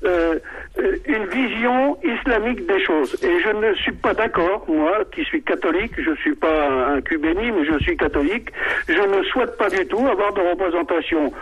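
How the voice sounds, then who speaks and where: A man's voice: high-pitched, animated, normal speed, slightly rough. An older man calling into a radio debate, speaking hesitantly with many fillers and restarts. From Morocco. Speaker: radio caller. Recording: muffled phone call on radio.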